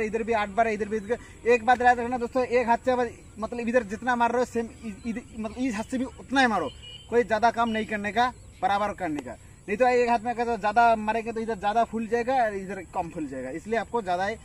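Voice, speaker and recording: A young man in his twenties demonstrating a home workout in a field, counting reps aloud while he lifts, with many fillers. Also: very high voice. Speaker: fitness instructor. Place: open farm field outdoors. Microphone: camera at a distance. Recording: distant outdoor camera audio, faint noise.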